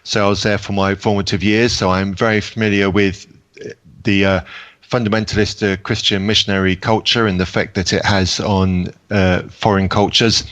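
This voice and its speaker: A man from Zambia with a low voice talks on a podcast panel. He speaks haltingly and keeps correcting himself mid-phrase. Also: level tone, fairly bright tone. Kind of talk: casual panel chat.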